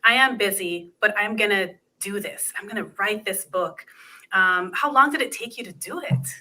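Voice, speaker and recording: A woman hosting an interview show, asking gentle, searching questions with some hedging. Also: mid-range voice, normal speed. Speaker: show host.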